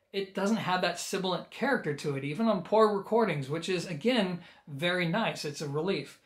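A man in his thirties with an American accent and a fairly high-set voice. He talks to the camera as a casual reviewer, explaining things with clear emphasis on key points. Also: a bit bright. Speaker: audio gear reviewer.

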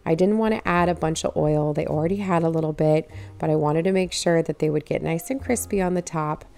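Relaxed woman narrating a home cooking video. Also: low voice, a little fast, creaky. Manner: relaxed, step-by-step instructive. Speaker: cooking channel host.

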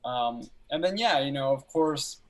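A young man who grew up speaking Albanian, a filmmaker talking about his work as a guest on a video call, telling stories in a relaxed way with pauses to think. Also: medium pitch. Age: young adult. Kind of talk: casual interview chat. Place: small room at home.

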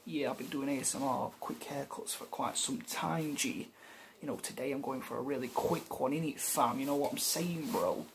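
A man's voice: mid-range voice, fairly even intonation, normal speed, a little hiss or hum, natural voice. A young man playing a cocky, self-mocking roadman hairdresser in a comedy sketch, with punchy, repetitive street slang. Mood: cocky, hyped. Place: small living room.

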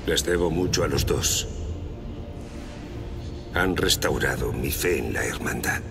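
An actor playing a loyal, dignified space marine officer in a video game, with a formal military manner. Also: male voice, extremely low pitch, measured pace. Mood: earnest. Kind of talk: dramatic video game cutscene acting. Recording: loud music behind. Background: loud cinematic music.

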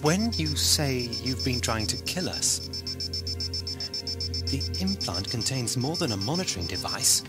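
An actor playing an eccentric, quick-witted time-travelling adventurer in an audio drama. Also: male voice, mid-range voice, very animated, loud music behind the voice. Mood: indignant, firm.

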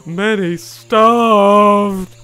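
A man voicing a young tapir who speaks slowly and mournfully. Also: sits high, steady tone, bright, forceful tone, clear, resonant tone, no echo, faint music behind, voice pushed up, exaggerated voice. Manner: slow, grieving, mournful. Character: young mournful male tapir, last of his herd.

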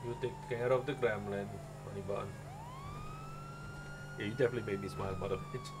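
Man, game streamer, very swooping pitch, playful sweary banter, sirens and engine noise behind. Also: huge pitch swings, a little fast. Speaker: streamer role-playing a paramedic. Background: game sirens and vehicle sounds.

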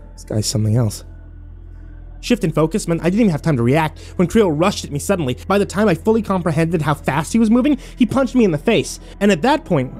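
A man's voice: a little high, darkish tone, close to an everyday voice. A man playing a sarcastic, battered young man who narrates his own horror audio drama with wry, sweary self-deprecation.

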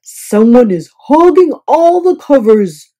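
A woman's voice: high voice, very animated, slow, warm tone, heavily acted, low-quality recording. A woman voicing a grumpy, sleepy, demanding man staying at a hotel, grumbling and fuming in a storybook reading. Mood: annoyed, demanding.

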